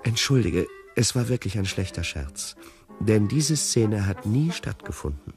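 An actor playing an urbane storyteller who confides in the listener in a radio drama, measured and literary. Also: male voice, on the low side, big pitch swings, over music. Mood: apologetic, composed.

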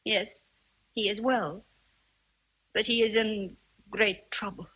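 A woman's voice: mid-range voice, fairly even intonation, slow, fairly bright tone, husky, low-quality recording. An actress with an English accent playing a kindly, motherly old housekeeper in a radio drama, tender and grave. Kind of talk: BBC radio drama acting.

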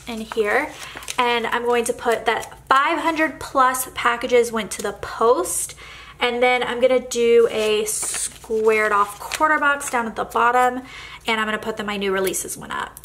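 Young woman rambling about her planner, kinda high and bright, stuffy nasal cold voice. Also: slight background noise. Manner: chatty, rambling with many fillers. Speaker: planner vlogger. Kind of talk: casual voice-over while decorating a planner.

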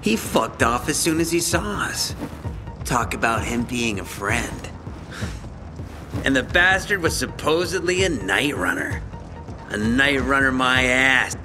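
A cocky young male gang thug from a video game, played by an actor, sneering and taunting with vengeful menace. His pitch leaps around a lot, and loud music plays behind him. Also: lively intonation, measured pace, lightly acted. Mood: menacing contempt. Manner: taunting, mocking, foul-mouthed. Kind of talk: dramatic video game acting.

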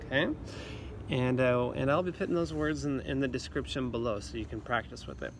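A man's voice: medium pitch, fairly quick. A man in his thirties talking to students as a music teacher on an instructional video, explaining simply and encouragingly, recorded outdoors. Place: outdoors in a leafy yard. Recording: outdoor recording with clear ambient noise.

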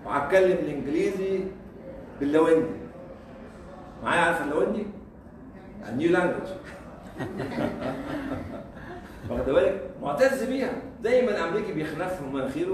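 A man's voice: a little high, expressive, quick pace, long pauses. An Egyptian man with an Egyptian accent giving an informal university lecture, throwing out rhetorical questions, repeating himself and chuckling. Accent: Egyptian Arabic. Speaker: guest lecturer. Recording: echoey room, mic far off, faint noise.

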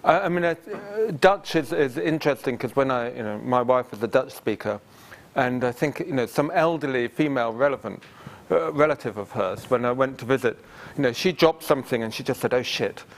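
A man's voice: mid-range voice, animated, brisk. A middle-aged man giving a lecture, answering questions from the audience in a hesitant, filler-heavy way with self-deprecating humour. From England. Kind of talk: public lecture Q&A. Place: large lecture theatre with audience.

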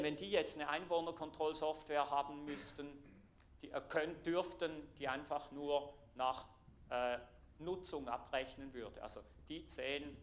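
Middle-aged swiss guy, thurgau accent, explaining stuff at a conference, lots of uhs, clip mic. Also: mid-range voice. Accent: Swiss German (Thurgau). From Thurgau, Switzerland. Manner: earnest, explanatory, pausing with fillers. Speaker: IT architect giving a conference talk. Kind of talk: conference presentation. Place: lecture room, standing before a projected slide.

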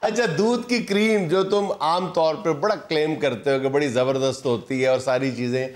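A man's voice: on the high side, normal speed. A male host of a TV comedy show, teasing and questioning his guests, on a lapel mic in a studio. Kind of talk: satirical TV banter.

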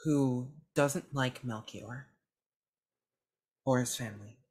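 A woman's voice: very deep voice, slow pace, darkish tone, slightly rough. A woman playing a tabletop roleplaying game on a video call, improvising in character on a close mic.

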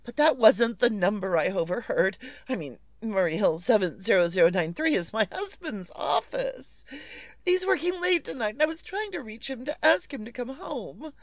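High-pitched, fretful society invalid. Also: female voice, sing-song, moderate pace, no echo. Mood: agitated, urgent. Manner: imperious, fretful, melodramatic. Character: nervous, demanding, well-to-do invalid wife. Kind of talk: old-time radio suspense acting.

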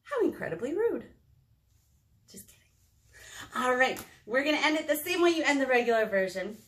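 An American woman in her thirties hosting a children's storytime, expressive and talking directly to small children in a room. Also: high-pitched, a little fast. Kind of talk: children's storytime read-aloud with singing. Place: small indoor meeting room. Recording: roomy indoor echo.

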